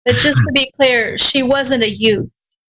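A woman's voice: high-pitched, steady tone, measured pace, fairly bright tone, rough recording. A woman hosting a radio interview, chatty and playful with her guest. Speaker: radio show host.

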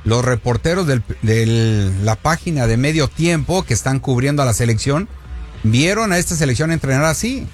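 A man's voice: medium pitch, animated, normal speed, soft background music. A man co-hosting a sports talk show, reading out viewer comments with a playful, teasing manner. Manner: playful, teasing, jokes and laughs.

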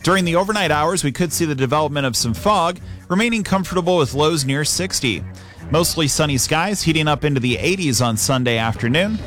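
A man's voice: on the high side, animated, a little fast, fairly bright tone, soft background music. A male meteorologist delivering a scripted radio weather forecast.

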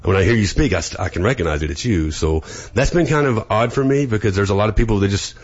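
A man with a deep voice, a sports announcer being interviewed, talking with hesitant fillers and restarts. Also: lively intonation, fast talker, dull audio. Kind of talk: casual interview chat.

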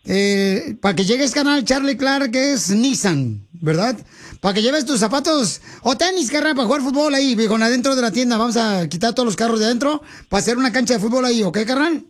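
A man's voice: very high-pitched, expressive, a little fast. A man with a Mexican accent hosting a radio show, bantering with callers in a playful, teasing, slangy way. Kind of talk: boisterous comedic radio banter with callers and sidekicks.

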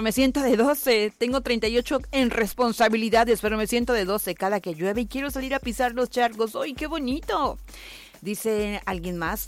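A woman, hosting a live radio show and reading out listener messages in a chatty way, often laughing and exclaiming. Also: a little high. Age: 40s. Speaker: radio host. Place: radio studio. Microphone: studio microphone.

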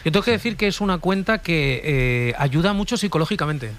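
A man's voice: fairly high pitch, expressive, a little fast, a bit bright, slight background noise. A man co-hosting a radio talk show, chatty and playful, rambling as he explains. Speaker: co-host.

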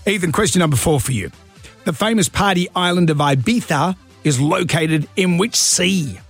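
A man's voice: high-pitched, lively intonation, normal speed, a bit bright, husky, faint music behind. A man hosting a drive-time radio show, chatting in a jokey, rambling way and laughing easily. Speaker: radio host.

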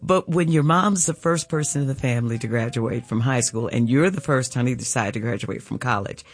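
A woman's voice: fairly quick, breathy edge. A woman, telling stories and urging listeners to give during a radio fundraiser. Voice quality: low contralto voice. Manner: warm storytelling, laughs often, urges listeners to give. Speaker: guest co-host of a radio fundraiser. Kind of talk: casual radio chat.

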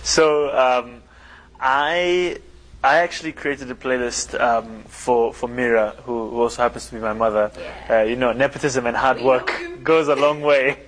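A man's voice: medium pitch, steady tone, unhurried, fairly bright tone, a little hiss or hum, low-quality recording. A man speaking hesitantly in an interview clip, making a small joke.

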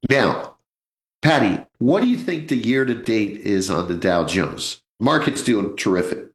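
A man in his sixties with a low voice hosts a live financial radio show. He talks in a chatty, jokey way and keeps turning to his listeners as folks. The studio sound is roomy. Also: animated, unhurried. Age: 60s. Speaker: radio host, financial advisor.

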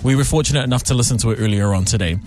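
A man's voice: mid-range voice, racing, darkish tone. A man hosting a radio studio interview, joking as he introduces his guest. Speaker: radio host.

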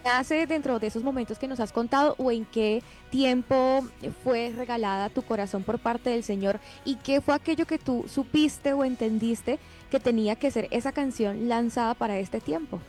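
Measured, mellow-voiced female host. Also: on the high side, faint music behind. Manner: formal, reverent, measured. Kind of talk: interview questions.

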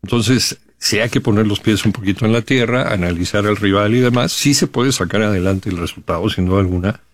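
A man with a Mexican accent, a radio co-host who talks in a measured, cautious way. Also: lowish voice, a little hiss or hum. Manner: measured, cautious, asks for agreement. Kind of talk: casual sports chat.

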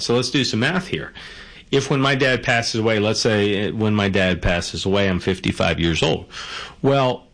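A man speaks in a relaxed explanatory monologue. He leans on rhetorical questions and quick check-ins with the listener. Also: on the low side. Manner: conversational, rhetorical questions, frequent "okay?" and "right?". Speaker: financial commentator.

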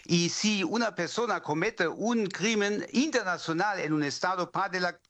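Higher-voiced German man, halting delivery. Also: fairly bright tone. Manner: halting, repeats words while searching for phrasing. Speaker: legal academic, interview guest. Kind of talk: explanatory interview answers.

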